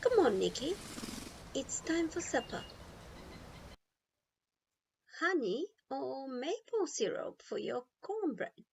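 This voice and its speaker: A woman voicing a brisk, wry, folksy older grandmother in a storybook. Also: high voice, sing-song, creaky, darkish tone, slight background noise, voice pushed up, exaggerated voice. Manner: brisk, bossy, folksy commands. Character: brisk, wry country grandma, self-styled river rat.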